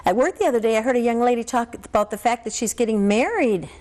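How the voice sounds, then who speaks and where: A woman's voice: a little high, lively intonation, fast, darkish tone. An American woman hosting a local talk show in a chatty, conversational style.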